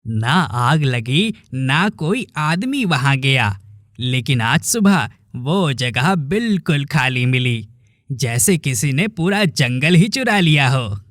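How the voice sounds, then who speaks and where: Man playing a teasing, slow-talking young village buddy. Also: medium pitch, sing-song, a bit bright, no echo, studio quality. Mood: mysterious, grave. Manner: familiar, teasing, emphatic. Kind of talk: dramatic cartoon dialogue.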